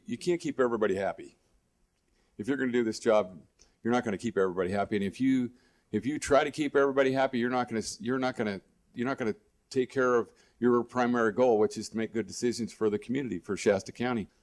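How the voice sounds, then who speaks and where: Plainspoken American man, folksy and halting. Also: mid-range voice, a little mellow. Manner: plainspoken, folksy, repeats and restarts phrases often. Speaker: guest, local political candidate. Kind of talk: unscripted radio interview answers.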